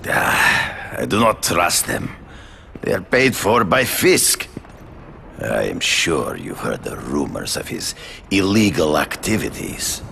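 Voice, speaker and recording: Imperious game villain: cavernous, gravel-throated hunter, slow and deliberate, cold and proud. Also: male voice, low-pitched, very animated, pushed and bright, slight background noise, exaggerated voice. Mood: disdainful. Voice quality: gravelly, growling. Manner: formal, deliberate, theatrical. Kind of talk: video game dramatic acting.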